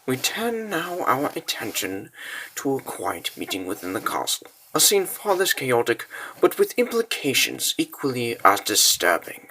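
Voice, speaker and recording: Guy narrating a fantasy story, slow, scripted, voice moves up and down a lot. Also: mid-range voice, wildly swooping pitch, bright, forceful tone, a little hiss or hum, rough recording.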